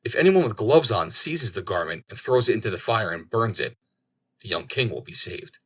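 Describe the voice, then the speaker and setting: A man's voice: fairly low pitch, lively intonation, moderate pace, slightly rough. A man voicing a knowing raven in a storybook tale, speaking in a dramatic, ominous and declaiming manner. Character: knowing raven foretelling doom to a companion.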